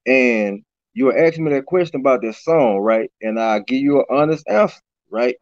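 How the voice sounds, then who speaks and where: Raspy man on a video call. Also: medium pitch, normal speed, a little mellow, no echo. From Baton Rouge, Louisiana. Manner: loose, repeats "you know what I'm saying". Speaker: rapper, interview guest. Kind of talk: casual interview chat. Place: small room indoors. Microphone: webcam on a video call. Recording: dull lo-fi video call audio.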